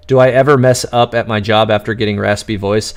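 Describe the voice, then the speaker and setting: A man hosting a live-stream Q&A, answering chat questions in an exuberant, radio-like way and enunciating words crisply despite quick restarts. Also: lowish voice, steady tone. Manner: talks fast with frequent restarts and laughs.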